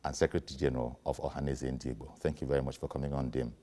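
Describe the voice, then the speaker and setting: A man's voice: very deep voice, flat delivery, rapid-fire, mellow, big-room sound. A man hosting a morning news show, asking probing, polished questions in a studio. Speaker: TV news host.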